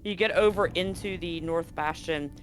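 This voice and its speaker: A man's voice: a little high, moderate pace, a bit bright, faint music behind, low-quality recording. A man running a tabletop horror game as game master, narrating loosely and correcting himself as he goes. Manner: loose, conversational, self-correcting.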